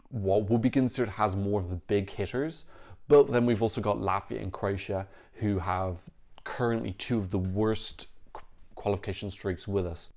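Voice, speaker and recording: A man talking casually to camera, giving frank, critical opinions in quick bursts and often restarting his sentences. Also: on the low side, a little mellow. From Ireland. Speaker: Eurovision reaction vlogger.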